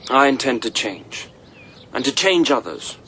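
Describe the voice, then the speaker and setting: An idealistic young master in a radio play. He speaks slowly and deliberately at a middling pitch, outdoors with clear birdsong and ambient noise. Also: big pitch swings, pushed and bright. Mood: solemn. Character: solemn, idealistic young master resolved on change. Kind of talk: radio drama acting.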